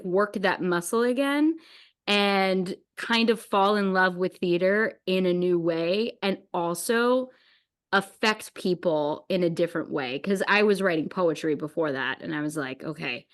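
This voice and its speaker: A woman hosting a podcast, chatting casually in a gushing, animated and giggly way. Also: fairly low pitch. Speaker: podcast host. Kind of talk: casual enthusiastic chat.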